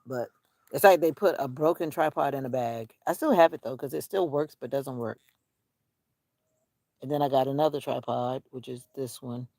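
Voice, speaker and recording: A woman with a creaky, gravel-edged voice chats as a vlogger out on a walk. She wanders from thought to thought, half to herself and half to her viewers. The sound is dry, with very little echo around her. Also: very deep voice, a little mellow. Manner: rambling, musing to herself and viewers. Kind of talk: casual outdoor vlog chat. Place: outdoors on a nature walk.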